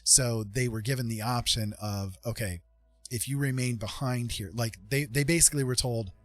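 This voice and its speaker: A middle-aged American man chatting reflectively on a livestream, speaking earnestly and emphatically with halting pauses. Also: medium pitch, fairly even intonation, no room sound, soft background music. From Indiana, United States. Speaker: livestream host. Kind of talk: casual reflective livestream chat.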